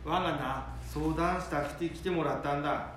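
A man's voice: fairly even intonation, moderate pace, a bit bright, lightly acted. Man playing echoey old grandfather with Nanbu dialect. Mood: hearty, polite. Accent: Nanbu dialect of northern Japan. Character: blunt, sturdy old Japanese grandfather worried for his son. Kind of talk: amateur radio drama acting. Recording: very echoey room, faint rumbling noise.

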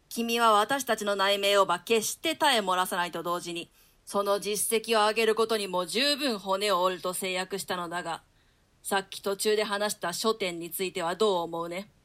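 A woman's voice: medium pitch, normal speed, a bit bright, natural voice. A woman performing a scheming, commanding male nobleman in a theatrical Shakespeare reading. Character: scheming, commanding nobleman, grown man, conspirator. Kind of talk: theatrical Shakespeare reading in archaic Japanese translation.

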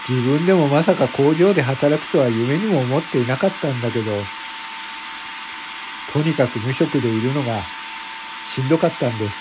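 A man's voice: mid-range voice, fairly quick, long pauses, background noise, lightly acted. A man playing a self-conscious factory worker turned popular voice streamer, narrating a confessional radio drama monologue. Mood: downcast, resigned. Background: background music.

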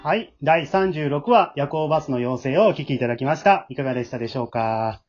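A man's voice: a little high, animated, fast, darkish tone, highs cut off, faint music behind. A man hosting a radio drama show in a casual, chatty and polite way. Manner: polite, frequent backchannels.

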